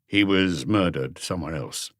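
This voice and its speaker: A man voicing a calm, terse male chief inspector who questions witnesses in a detective audiobook. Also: low voice, normal speed, no echo, clean studio sound. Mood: calm, grave.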